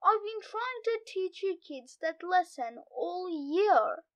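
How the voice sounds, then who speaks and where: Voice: very high-pitched, big pitch swings, slow, fairly bright tone, lo-fi sound, heavily acted. A storybook reader voicing a patient, slightly fussy father frog in a children's comic. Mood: gentle, encouraging.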